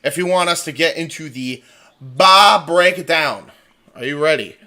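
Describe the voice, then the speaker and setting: A man's voice: fairly high pitch, unhurried, pushed and bright. A man hosting a casual livestream, chatting informally with plenty of swearing and repeated phrases. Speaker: livestream host.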